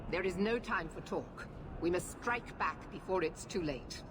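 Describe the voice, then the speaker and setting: Curt commanding knight commander, very low voice, noisy game audio. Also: female voice, expressive, unhurried. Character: stern authoritarian female knight commander.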